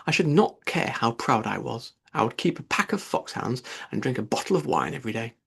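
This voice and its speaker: Casting: male narrator as a carefree, bragging boy in a classic novel, animated and gravelly. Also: medium pitch, fairly quick, voice pushed down, heavily acted. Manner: boastful. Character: boastful, carefree boy from a genteel family. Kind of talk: audiobook character dialogue.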